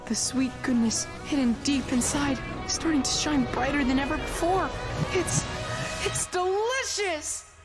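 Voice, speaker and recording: A woman voicing an awed cartoon character with dramatic, drawn-out delivery. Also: high-pitched. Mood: awed, delighted. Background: music.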